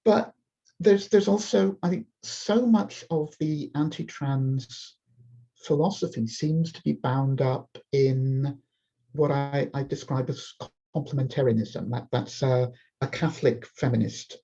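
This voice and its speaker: Brief: thoughtful interviewer, gravelly husky voice, lo-fi remote audio. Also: mid-range voice, warm tone. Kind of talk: interview questions.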